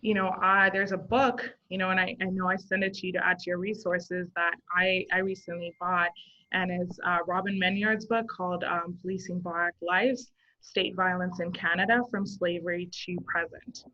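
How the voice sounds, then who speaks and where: A woman's voice: on the low side, flat delivery, normal speed, pushed and bright. A woman in her thirties from Zimbabwe, a social worker guest, talking earnestly in a casual interview and thinking aloud with many fillers. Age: 30s. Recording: dull lo-fi sound.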